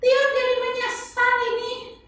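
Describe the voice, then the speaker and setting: A woman's voice: very high voice, completely flat delivery, measured pace, a bit bright, soft background music, pitched above the performer's own voice. A woman playing a chatty, cheerful little girl who talks in a playful sing-song way. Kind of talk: Sunday school puppet-style dialogue. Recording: echoey room, mic far off.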